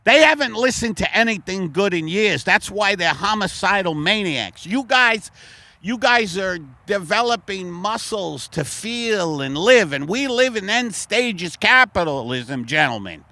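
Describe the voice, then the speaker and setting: A comedian ranting as a football coach in an improvised sketch, rambling and slipping into silly high voices. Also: male voice, expressive, a little slow, sharp tone. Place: outdoors in a park.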